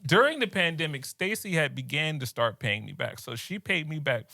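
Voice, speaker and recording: A man's voice: on the high side, normal speed, creaky. A young man, co-hosting a podcast and telling a story in casual chat, laughing often and dropping his voice when he quotes people. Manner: animated, laughs often, drops to quiet voices when quoting others.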